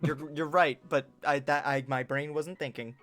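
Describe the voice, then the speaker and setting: A game streamer giving casual, jokey, excitable live commentary and reading game text aloud. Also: medium pitch, normal speed, soft background music.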